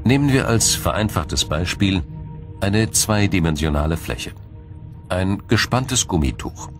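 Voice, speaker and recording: Deep-voiced male documentary narrator. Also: animated. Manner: measured, explanatory. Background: music.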